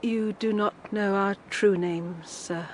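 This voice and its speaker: A woman's voice: mid-range voice, fairly even intonation, slow pace, slight background noise, lightly acted. An actress playing an anxious, guarded middle-aged woman, hesitant and pleading, in a period audio drama. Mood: distressed. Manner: hesitant, pleading, formally polite. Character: anxious, guarded older female guardian keeping a sorrowful secret.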